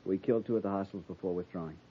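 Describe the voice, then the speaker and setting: A man's voice: low-pitched, racing, slightly rough. A man in a 1950s radio drama playing an earnest, anxious young cavalry lieutenant, formal and deferential. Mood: chastened, formal. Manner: formal, deferential military address. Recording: dull band-limited 1950s radio recording.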